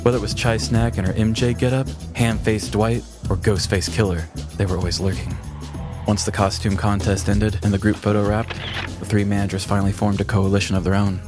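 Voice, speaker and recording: A man with a low voice narrates a comedic scripted tale. He tells it with dramatic storytelling delivery, and music plays clearly underneath. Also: flat delivery, fairly quick. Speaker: narrator and podcast host.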